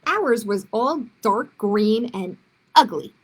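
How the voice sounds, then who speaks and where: A woman's voice: on the high side, expressive, takes it slowly, breathy edge. A woman reading a children's story aloud expressively, with short explanatory asides to young listeners.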